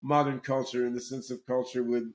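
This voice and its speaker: Halting man hosting a podcast. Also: mid-range voice, flat delivery. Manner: halting, many repeated words and restarts. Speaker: podcast host. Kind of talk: casual podcast conversation.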